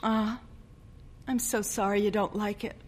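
A woman voicing a young newlywed wife who chatters in a quick, breathless, pleading way during a short story reading. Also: medium pitch, fairly even intonation, slight background noise, voice pushed up, lightly acted. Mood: fretful.

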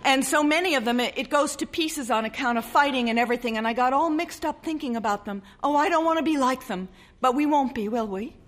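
A woman voicing a young newlywed wife who chatters in a quick, breathless, pleading way during a short story reading. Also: high voice, pitched above the performer's own voice, close to an everyday voice. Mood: fretful.

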